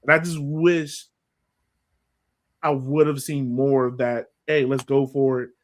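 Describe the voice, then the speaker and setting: A man's voice: medium pitch, little pitch movement, slow. A man hosting a sports podcast, giving opinions in casual conversation and tossing in rhetorical questions. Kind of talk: casual sports chat, opinionated game breakdown.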